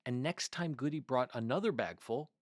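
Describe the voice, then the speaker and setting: A man narrating a children's story in an expressive, deliberate storybook delivery. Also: mid-range voice, no echo, clean studio sound. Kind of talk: scripted narration, children's read-aloud.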